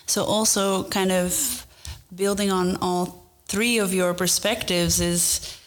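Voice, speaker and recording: A woman's voice: fairly low pitch, slow. A woman moderating an academic panel, asking questions in a hesitant way, searching for her words. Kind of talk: panel discussion.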